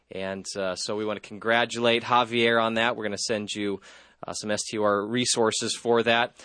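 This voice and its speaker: A man's voice: medium pitch, no echo. A man filling in as host of a call-in radio show, talking casually with lots of fillers. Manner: relaxed, lots of uh fillers and restarts. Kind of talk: live call-in radio talk. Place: radio studio. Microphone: studio microphone.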